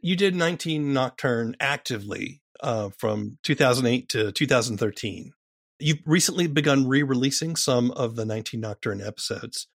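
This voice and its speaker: A man's voice: medium pitch, normal speed, gravelly, dry recording, clean studio sound. A man interviewing a guest in a conversational podcast style.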